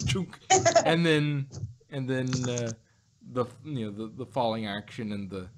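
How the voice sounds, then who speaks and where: Male podcast host, mid-pitched, loose rambling delivery, audible background noise. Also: takes it slowly. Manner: rambling, repeats and rephrases himself. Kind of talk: casual review chat about sci-fi shows.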